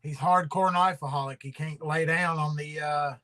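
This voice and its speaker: A man's voice: on the high side, steady tone, moderate pace, sharp tone. A man hosting a casual livestream, rambling and drawling, his voice trailing off into mumbles. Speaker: livestream host.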